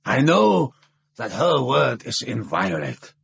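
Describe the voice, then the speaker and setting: A pompous, husky-voiced king. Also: mid-range voice, huge pitch swings, takes it slowly, fairly bright tone, muffled recording, heavily acted. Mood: assured, pleased. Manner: grandiose, condescending, exclamatory. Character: pompous, haughty male King of Bohemia. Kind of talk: radio drama acting.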